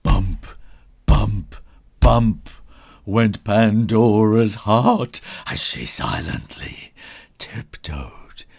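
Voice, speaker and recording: A man's voice: on the low side, lively intonation, very slow, a little mellow, breathy edge. A man reading a children's storybook in a theatrical, suspenseful way, stretching out words, dropping into whispers and playing with sound words. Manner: playful onomatopoeia and repetition. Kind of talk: dramatic children's storybook read-aloud.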